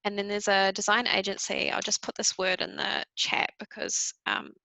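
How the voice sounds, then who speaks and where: Gravelly bright New Zealand woman. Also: medium pitch, moderate pace, no echo, poor audio. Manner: thoughtful, frequent ums, light laughs. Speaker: panelist. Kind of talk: conversational panel talk.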